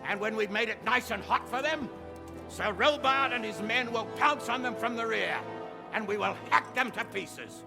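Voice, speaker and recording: An actor playing a gruff, blunt, hot-tempered medieval lord in a video game, giving orders to his soldiers. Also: high voice, moderate pace, over music. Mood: rousing, commanding. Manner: blunt, commanding, coarse-mouthed. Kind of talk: dramatic video game acting.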